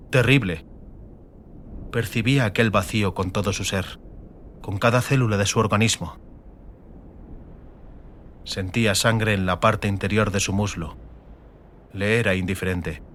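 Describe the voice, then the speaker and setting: A man narrating a fiction audiobook dramatically, in solemn, measured short phrases. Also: fairly low pitch, fairly bright tone, slight background noise.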